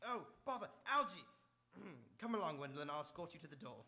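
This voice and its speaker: A man acting in live radio theatre as a proper, earnest, easily flustered young English gentleman. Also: on the high side, very animated, brisk, heavily acted. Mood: exasperated, confiding. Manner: proper, hesitant, nervous.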